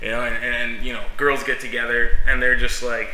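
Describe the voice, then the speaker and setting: A man's voice: mid-range voice, level tone, a little fast, sharp tone, a little hiss or hum. A young man, a youth pastor, rambling casually in a vlog while stuffy and sniffling from a cold, with lots of fillers and self-mocking laughs. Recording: echoey room.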